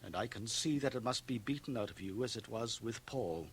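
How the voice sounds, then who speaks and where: An actor playing a stern, pious small-town minister, solemn and righteous, in a radio drama. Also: male voice, mid-range voice, animated, brisk, slight background noise, natural voice. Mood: outraged, severe. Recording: 1980 radio drama from home cassette transfer.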